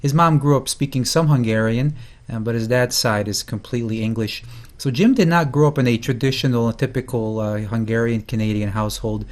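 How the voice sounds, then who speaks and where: A man at a middle pitch hosts a folk music program, talking loosely about a guest. He stops and restarts often, filling gaps with ums and uhs. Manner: halting, many fillers and restarts. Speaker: host. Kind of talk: casual spoken introduction.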